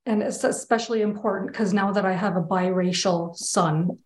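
A woman's voice: medium pitch, steady tone, moderate pace. A woman from Canada with a Canadian accent, talking casually and candidly as an interview guest. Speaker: interview guest, children's book illustrator. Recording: echoey, roomy sound.

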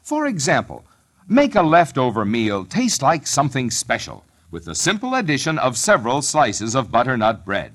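A man announces an old-time radio commercial. His voice is mid-pitched and swings widely as he leans on the selling words with emphatic delivery. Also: huge pitch swings, moderate pace, fairly bright tone.

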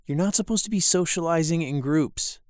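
A man's voice: on the high side, fairly quick, dry recording, studio quality, close to an everyday voice. A young man acting as an uptight, studious college roommate in an audio drama, curt, sardonic and exasperated. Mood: irritated, stern. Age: young adult.

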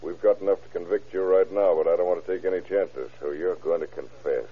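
Tough american police captain, low husky voice, bossy and shrewd, old radio show. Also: male voice, brisk. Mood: pressing. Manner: brusque, authoritative interrogating. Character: gruff, commanding, shrewd male police captain. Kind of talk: old-time radio crime drama acting. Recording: tinny, rough old radio recording.

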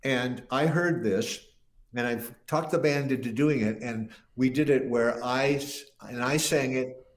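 A man's voice: medium pitch, measured pace. An older man, a guest musician, telling long, rambling stories in an interview and often restarting his sentences. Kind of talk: casual interview storytelling. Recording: roomy echo.

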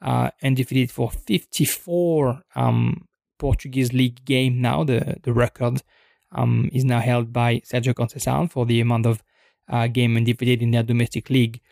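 Gravelly man musing on football. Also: medium pitch, little pitch movement, normal speed, a little mellow, no echo, clean studio sound. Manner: hesitant, many ums and restarts, thoughtful. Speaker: football podcast host. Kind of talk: unscripted football commentary podcast.

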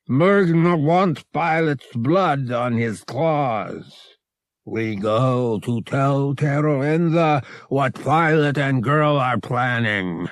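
A man voicing a huge furry male feline alien guard in an audiobook, grim and growling, speaking slowly in halting broken phrases. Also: mid-range voice, dry recording, studio quality, voice pushed up. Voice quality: growling, snarling. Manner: slow, halting broken speech in third person. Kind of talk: audiobook character voice.

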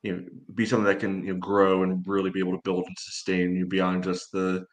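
A man co-hosting a casual sports podcast, speaking in a measured, hedging way with many filler phrases. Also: low-pitched, monotone. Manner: measured, hedging, peppered with "you know".

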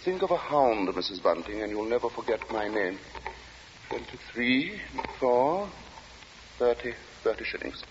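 Male role: secretive, pious lodger, weary and formal, halting phrasing, dull reverberant radio-drama recording. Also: mid-range voice, big pitch swings, background noise. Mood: courteous, deliberate. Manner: formal, halting, quoting scripture solemnly, abruptly sharp. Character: weary, courteous, secretive lodger, religious zealot and abstainer. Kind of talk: old-time radio drama acting.

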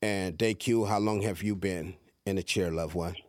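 Low-voiced man hosting a podcast. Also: steady tone, normal speed, dry recording, studio quality. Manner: warm-hearted, repeats words and restarts a lot. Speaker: podcast host. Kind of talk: casual interview, encouraging.

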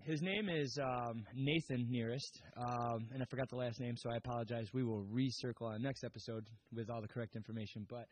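A man's voice: medium pitch, steady tone, brisk, hardly any pauses, a little hiss or hum. A man co-hosting a podcast, retelling a story he read and apologizing for gaps in the details. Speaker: podcast co-host. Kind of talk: casual chat.

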